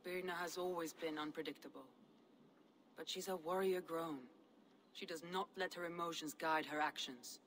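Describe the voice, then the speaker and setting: An actress playing a proud, composed Viking clan leader in a video game, speaking in an assured, formal way. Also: female voice, low-pitched, fairly even intonation, moderate pace, fairly bright tone, slight background noise, poor audio. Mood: firm, measured. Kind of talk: video game cutscene dialogue.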